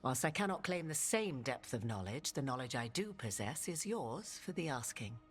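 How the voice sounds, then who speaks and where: A woman's voice: very deep voice, sing-song, moderate pace, soft background music. A woman playing a regal, cryptic witch and arcane advisor in a video game, with formal, archaic, theatrical speech. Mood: wry, knowing. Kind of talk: video game dramatic acting.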